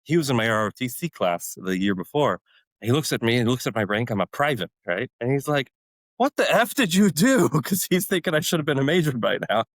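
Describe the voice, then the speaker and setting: A man's voice: mid-range voice, very animated, fairly quick, no echo. A man in his forties whose first language is Polish, a podcast guest telling army stories casually and animatedly, laughing often and acting out other people. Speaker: podcast guest, retired Army warrant officer. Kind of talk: casual conversational storytelling.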